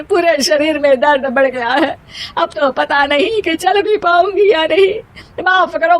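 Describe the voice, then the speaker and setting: Cartoon mother-in-law acted by a woman: high-pitched, bossy, melodramatic scold, long-suffering. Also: lightly acted. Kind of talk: animated moral story character voice.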